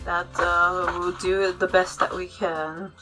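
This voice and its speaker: A woman's voice: fairly low pitch, level tone, measured pace, over music. A woman co-hosting a casual opinion chat, speaking informally with somewhat hesitant phrasing. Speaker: co-host.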